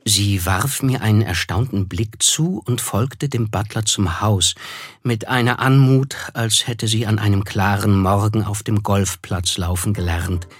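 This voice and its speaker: An actor playing a reflective, reserved young man who narrates his past in measured literary German for a radio play. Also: on the low side, slightly rough, dry recording.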